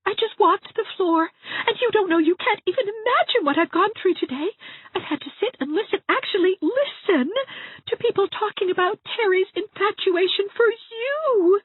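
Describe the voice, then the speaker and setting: A woman's voice: extremely high pitch, lively intonation, moderate pace, dry recording. A woman voicing a heartbroken, self-pitying young woman who speaks in a tearful, theatrical way, recorded with a tinny, dry sound. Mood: accusing. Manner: tearful, theatrical, sobbing delivery. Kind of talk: dramatized audiobook reading.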